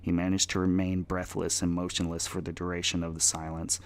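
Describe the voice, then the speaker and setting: A man's voice: sits low, completely flat delivery, fairly quick. A man giving a dramatic scripted reading as the narrator of a darkly comic story.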